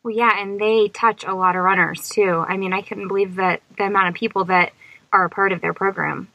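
A bright, crisp-edged woman host you would hear putting casual questions to a guest on a dry-sounding podcast. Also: mid-range voice, fairly even intonation, a little fast, no room sound, poor audio. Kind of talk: casual conversational interviewing.

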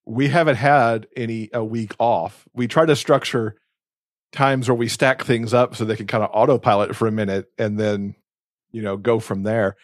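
A man's voice: fairly low pitch, steady tone, normal speed, no room sound, studio quality. A man chatting casually as a podcast co-host, speaking in a reflective, earnest way.

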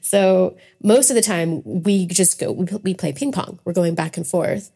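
A woman's voice: lowish voice, a little slow, gravelly, mellow, husky. An American woman hosting a casual solo podcast, talking in a chatty, informal, rambling way. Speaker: podcast host.

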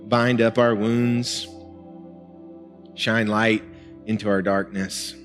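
A male pastor, preaching in a conversational, earnest way with small laughs. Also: fairly low pitch. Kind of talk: sermon and prayer. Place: church sanctuary. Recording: music and long hall reverb.

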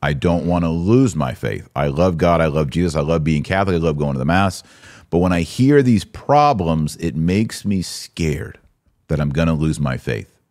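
A man's voice: extremely low pitch, expressive, moderate pace, darkish tone. A man hosting a podcast, giving opinionated religious commentary in an emphatic, exhorting manner with rhetorical questions. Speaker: podcast host.

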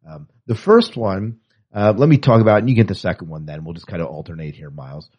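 A man's voice: low voice, fast talker, breathy edge, dull audio. A man co-hosting a podcast in casual, opinionated chat with wry asides, reading and commenting on news. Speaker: podcast co-host.

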